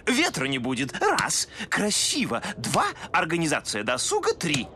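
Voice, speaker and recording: A man's voice: fairly high pitch, wildly swooping pitch, a little slow, bright, forceful tone, a little hiss or hum, full character voice. A man voicing a confident, eccentric animal inventor in a children's cartoon, showy and self-assured. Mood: confident, brisk.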